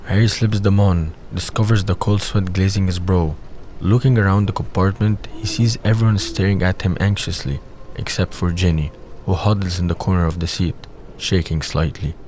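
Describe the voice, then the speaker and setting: A man's voice: low-pitched, fairly even intonation, moderate pace, a little mellow. A man reading scripted scene narration for an audio drama. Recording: faint background noise.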